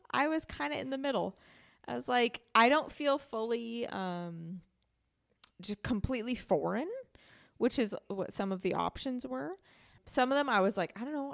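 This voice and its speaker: A woman's voice: on the high side, vocal fry, a bit bright. A woman talking casually and informally as a podcast guest discussing a video game, often voicing her own thoughts as she goes.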